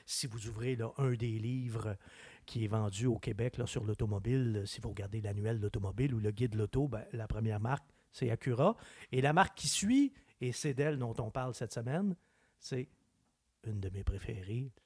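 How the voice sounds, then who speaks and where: A man with a Québécois French accent, a car expert chatting on a radio show in an opinionated, animated way. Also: medium pitch, moderate pace, slightly rough, dry recording. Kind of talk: casual chat.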